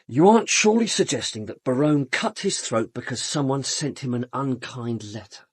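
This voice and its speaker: A supercilious British man in a radio murder mystery. He is cool and sardonic, with clipped, disdainful phrasing. His medium-pitched voice has a husky grain and sounds dry and close. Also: animated, normal speed, no echo. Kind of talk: British radio drama acting.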